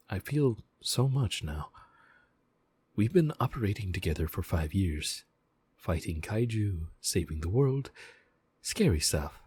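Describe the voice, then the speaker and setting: A man voicing a gentle, devoted sentient mech AI in a slow, measured audio roleplay, speaking directly to the pilot. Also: sits low, husky, exaggerated voice. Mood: tender, confessional. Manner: measured, deliberate, reassuring, with pauses. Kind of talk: slow scripted audio roleplay, addressing the listener.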